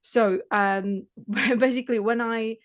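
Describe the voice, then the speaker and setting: A woman's voice: on the high side, steady tone, slow. A woman in her thirties from the Czech Republic, whose first language is Czech, talking casually about her tutoring work with many fillers and restarts. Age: 30s. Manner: chatty, many fillers and restarts, laughs often. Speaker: maths tutor. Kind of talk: casual interview chat.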